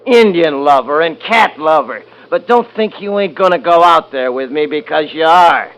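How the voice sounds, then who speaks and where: An actor playing a domineering, scornful eldest-brother rancher in a 1950s radio drama, with folksy Western speech. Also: male voice, a little high, animated, moderate pace, a bit bright, rough recording. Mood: harsh, mocking. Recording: hissy 1950s radio recording.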